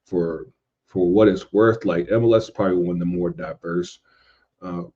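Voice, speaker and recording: A man chatting casually as a podcast guest, halting and circling back over his phrases. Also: low voice, fairly even intonation, dark tone, dull audio. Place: indoors at home in a city.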